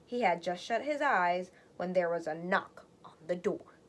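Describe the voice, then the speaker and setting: A woman storyteller narrating a children's read-aloud in an expressive, deliberate way. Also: lowish voice.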